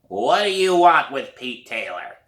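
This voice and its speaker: A raspy, stern old woman from a storybook, acted out by a man, scolds and needles in a slow, drawn-out way. Her hoarse voice is pushed forward and sharp, in a room with noticeable echo. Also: medium pitch, sing-song, recorded from a distance, voice pushed up. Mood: stern, suspicious.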